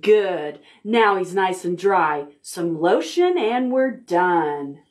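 A woman's voice: lowish voice, animated, slow pace, slight background noise. A middle-aged woman hosting a toddler storytime, reading aloud and giving instructions in an exaggerated, sing-song way. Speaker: library storytime host for toddlers. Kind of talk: children's storytime, read-aloud and action rhymes. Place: indoor room with stuffed animals and painted backdrop. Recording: roomy echo, music at times.

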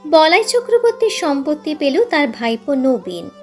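Lady reading an audiobook story, pretty high voice, bit of music under it. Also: sing-song, moderate pace. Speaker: channel host and story narrator. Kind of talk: scripted literary audiobook narration. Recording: music behind the narration. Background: instrumental music.

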